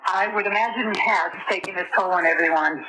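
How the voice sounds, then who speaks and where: A woman's voice: lowish voice, fairly quick. Female relative on a noisy phone call. Short, sympathetic, casual responses. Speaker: relative of a jail inmate on a call. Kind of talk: casual private phone conversation. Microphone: telephone. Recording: noisy jail phone line recording.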